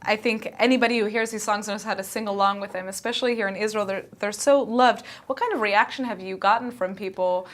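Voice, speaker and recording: Upbeat female TV interviewer, average pitch. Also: brisk, few pauses. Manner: bright, upbeat questions. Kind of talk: TV interview hosting.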